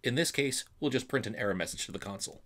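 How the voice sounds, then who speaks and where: A man's voice: mid-range voice, quick pace, a bit bright. A man explaining things step by step as an instructor in a screencast programming tutorial, reassuring and stressing key terms. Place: voice-over for a screen recording.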